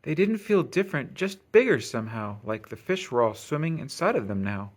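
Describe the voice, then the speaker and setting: A man reading a rhyming children's picture book aloud in an expressive, sing-song storytelling manner. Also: on the high side, fairly quick. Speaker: storybook reader.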